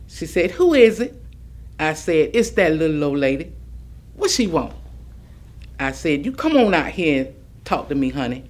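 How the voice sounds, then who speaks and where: Plucky, persuasive older woman played by an actress, folksy stage monologue, emphatic, very lively intonation. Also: sits low, full character voice. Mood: wry, spirited. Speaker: stage actress performing oral history. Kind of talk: dramatic monologue, staged reading.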